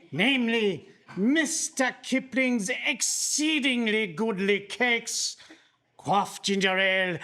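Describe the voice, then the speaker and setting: A man's voice: very high-pitched, sharp tone, full character voice. A man playing William Shakespeare as a theatrical, declamatory Elizabethan bard in a comic live radio sketch. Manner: declamatory, archaic speech.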